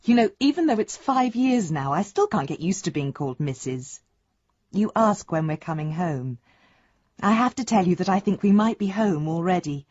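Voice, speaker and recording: An actress playing an affectionate, hopeful daughter, husky-voiced and earnest, reading a letter to her father in a radio drama. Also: on the low side, animated, normal speed, darkish tone, no room sound. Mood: fond, hopeful. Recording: dry, slightly dull studio sound.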